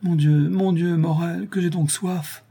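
A man's voice: mid-range voice, moderate pace, mellow, breathy edge, lightly acted. A man voicing a feverish, complaining wife in a storybook, with a plaintive, impatient manner.

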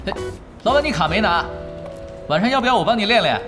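A man playing a laid-back expert gamer who works at an internet cafe, offhand and calm, in an audio drama. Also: sits high, fast talker, over music. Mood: casual. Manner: nonchalant, offhand, calmly giving orders. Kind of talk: Chinese audio drama acting.

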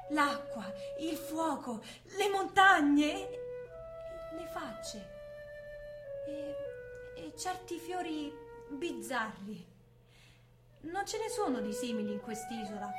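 An actress as a young blind woman with a high, plaintive voice, speaking as a dreamy exile in a stage drama. The room rings around her and music plays prominently behind. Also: lightly acted. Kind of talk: theatrical stage-play acting. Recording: roomy, with prominent live music.

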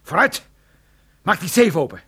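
Sardonic tough detective, lively pitch. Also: male voice, measured pace, slightly rough, full character voice. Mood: commanding, threatening. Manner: menacing, dryly sarcastic. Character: tough, sardonic male private detective holding a revolver. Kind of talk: radio drama acting with first-person narration.

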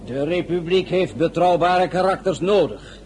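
Actor as Talleyrand, formal higher-voiced minister. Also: male voice, darkish tone, a little hiss or hum, close to an everyday voice. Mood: measured, diplomatic. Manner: formal, measured. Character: Talleyrand, measured diplomatic male foreign minister.

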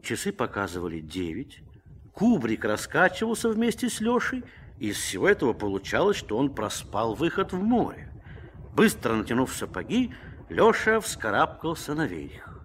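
A man's voice: medium pitch, huge pitch swings, normal speed, a bit bright, slight background noise. A man narrating a Russian radio play in a scripted storytelling style.

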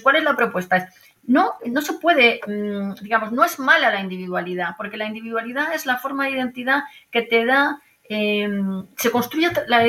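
Woman, Madrid accent, higher-pitched, bright forceful voice, emphatic explaining, repeated phrases, interview guest. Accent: Madrid Spanish. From Madrid, Spain. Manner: emphatic, repeats phrases, checks with tag questions. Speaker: interview guest, archaeologist and university professor. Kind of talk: explanatory conversation.

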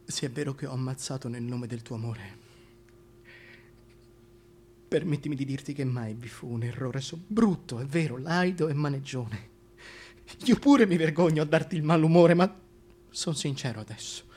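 A man's voice: mid-range voice, normal speed, a little mellow, slight background noise, close to an everyday voice. An actor playing a crude, scheming, wheedling king in a theatrical comic monologue, with a histrionic delivery that swings from murmurs to outbursts. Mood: sly, wheedling. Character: crude, scheming, wheedling male tyrant king wooing a woman. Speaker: stage actor in a solo radio theatre piece.

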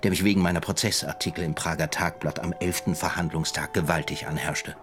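An actor playing a grave, defiant professor in a radio drama, with a solemn, literary delivery. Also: male voice, low-pitched, fairly even intonation, a little fast, heavy background noise, lightly acted. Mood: grave narration. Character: grave, defiant male professor chronicling a trial.

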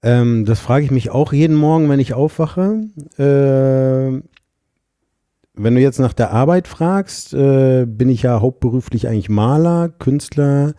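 A man from Heidelberg with a very warm, mellow voice at a middle pitch. He answers a studio interviewer hesitantly, with plenty of "ähm" and a dry sense of humour. The sound is close and dry. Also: a little slow, no room sound. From Heidelberg, Germany. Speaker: guest, painter and designer. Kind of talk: casual interview.

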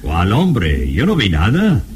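An actor playing a skeptical, blunt, easily startled professor in a radio drama. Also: male voice, fairly low pitch, huge pitch swings, slow pace, over music, full character voice. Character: skeptical male professor, startled travelling companion.

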